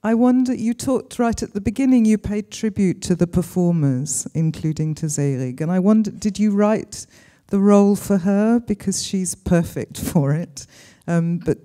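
An older woman moderating a film Q&A on a cinema stage, articulate and measured, speaking into a handheld mic. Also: mid-range voice, dark tone. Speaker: interviewer, moderator, professor. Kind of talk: moderating a post-screening Q&A.

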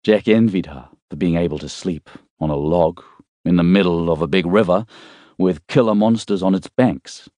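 A man reading a thriller novel as an audiobook narrator, in a measured, suspenseful storytelling way. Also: deep voice, warm tone, dry recording.

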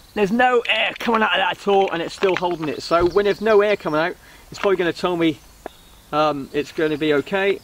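A man with a high-pitched voice talks through gear in an informal, hesitant vlog style. He rambles as he goes, recorded outdoors at some distance from the camera, with clear background noise. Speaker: vlogger reviewing budget camping gear. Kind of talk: casual product review to camera. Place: outdoors on a wooden riverside deck. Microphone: camera a couple of metres away.

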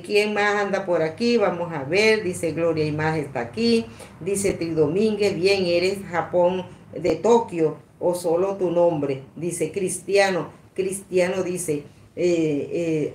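A woman in her sixties hosting a casual live stream, reading out comments in a rambling, halting, repetitive way. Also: lowish voice, darkish tone. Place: small indoor room at a table. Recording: echoey room, some background noise.

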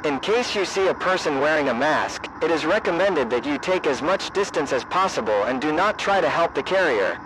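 A man delivers an official emergency alert in a slightly high-set voice. His reading is formal and measured, like a government announcement. Clear noise runs through the broadcast audio. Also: expressive.

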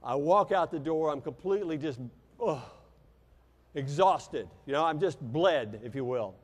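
An American man delivers a motivational keynote in a big, reverberant hall. His voice sits a little high for a man, and he tells stories theatrically, leaning on dramatic pauses. He sounds like he is in his fifties and speaks into a lapel mic on stage. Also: animated. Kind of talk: keynote speech with personal storytelling. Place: large convention hall stage. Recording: echoey hall with long reverb.

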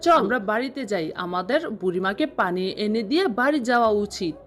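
A woman voicing a gentle, patient girl, the elder sister in a cartoon, speaking softly and earnestly. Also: medium pitch, animated, fast, a little hiss or hum, voice pushed down, natural voice. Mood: gently chiding.

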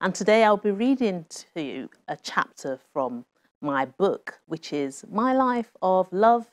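A middle-aged woman, an author reading aloud from her own memoir in a measured, expressive way. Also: fairly low pitch, no room sound. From Nigeria. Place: small TV studio with bookshelves.

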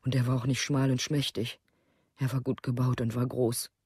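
An actor playing a grieving, protective parent in a radio play, speaking in plain short sentences. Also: male voice, medium pitch, level tone, moderate pace, a little mellow, husky, no echo, clean studio sound. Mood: defensive. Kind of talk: documentary radio play monologue.

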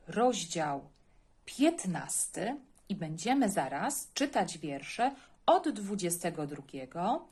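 A woman's voice: lowish voice, a little mellow, breathy edge. A woman introducing and reading a Bible passage aloud for children.

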